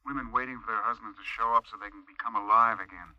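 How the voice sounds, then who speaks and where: An actor playing an insistent, smooth-talking seducer in a radio drama, coaxing and persuasive. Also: male voice, fairly low pitch, steady tone, quick pace, no room sound, natural voice. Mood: seductive. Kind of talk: 1980s radio drama acting. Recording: dull, band-limited playback sound.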